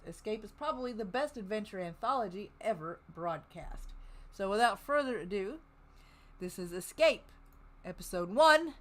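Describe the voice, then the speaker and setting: A woman hosts a livestream devoted to old-time radio. Her delivery is casual and conversational, shifting at times into read-out introductions, at a middling pitch. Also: bright, forceful tone. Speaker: host of an old-time radio listening stream. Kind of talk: casual livestream chat, partly reading show notes.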